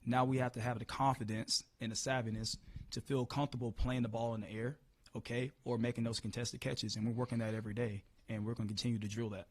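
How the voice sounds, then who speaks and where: Hoarse man, upbeat coach. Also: medium pitch, level tone, fast talker, dry recording. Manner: upbeat, repeats words as he restarts. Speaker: football position coach. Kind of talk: press conference answers. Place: media room.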